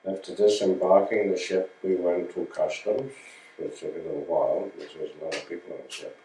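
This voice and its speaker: A man's voice: low voice, fairly even intonation, mellow, roomy sound, far from the mic, low-quality recording. An older man from Denmark recalling his life in a home oral history interview, telling it plainly and correcting himself as he goes. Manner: slow, plain storytelling with restarts and self-corrections.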